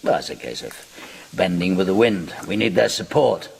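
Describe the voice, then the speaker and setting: An actor in a radio drama playing a powerful, coolly menacing Roman kingmaker, measured and sardonic. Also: male voice, low voice, expressive, gravelly, a little mellow, husky, exaggerated voice. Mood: wry, calculating. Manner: measured, sardonic, veiled threats.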